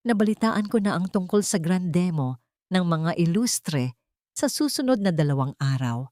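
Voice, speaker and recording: A woman voicing a gentle, protective male executive in a narrated love drama, soft-spoken and earnest. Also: lowish voice, animated, normal speed, no room sound, studio quality, close to an everyday voice. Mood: serious. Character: gentle, protective man, admiring and serious executive.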